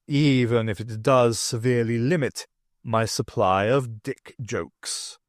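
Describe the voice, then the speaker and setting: A man's voice: mid-range voice, slow pace, no echo, voice pushed down, lightly acted. A man voicing a kindly older courtier with a dry, teasing way of talking. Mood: wry.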